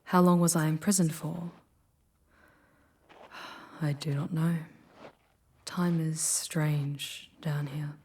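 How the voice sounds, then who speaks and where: A woman voicing an ancient, proud, wounded female demon who guides a traveler through hell in an ASMR roleplay, speaking softly and formally in a breathy, solemn, deliberate way. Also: deep voice, steady tone, a little hiss or hum. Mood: somber. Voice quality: soft, breathy, husky.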